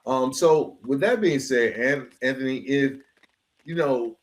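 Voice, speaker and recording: A man's voice: medium pitch, unhurried. A man hosting a reflective long-form interview, mixing conversational street slang with rhetorical, motivational phrasing. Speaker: podcast host.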